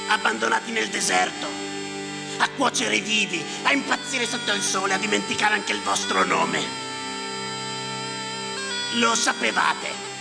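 Stage monologue: mocking, gravel-throated accuser, declamatory and incantatory, live music in a big echoing space. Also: male voice, sits high, full character voice. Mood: bitter, accusing. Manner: declamatory, incantatory, repeating phrases. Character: harsh, mocking man railing at doomed migrants. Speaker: stage actor. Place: theatre stage. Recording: reverberant hall, music behind.

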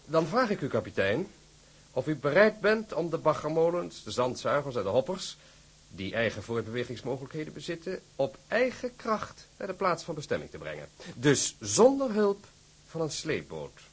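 An actor playing a formal, eager, scheming company director in a radio drama, with pompous, flowery courtesy. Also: male voice, fairly high pitch, very animated, normal speed, breathy edge. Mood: courteous, eager.

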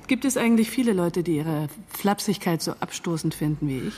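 A woman's voice: lowish voice, expressive, brisk, a little mellow, a little hiss or hum, lightly acted. An actress playing a cool, sardonic and distrustful woman in a German radio crime drama, arch and pointedly polite. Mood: cool, disdainful.

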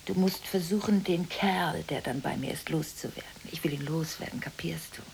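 An actress playing a volatile, emotionally contradictory married woman making frantic phone calls in a radio drama, swinging abruptly between hushed and agitated delivery. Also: low-pitched, normal speed, creaky, slight background noise. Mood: hushed, conspiratorial. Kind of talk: 1980s German radio drama monologue.

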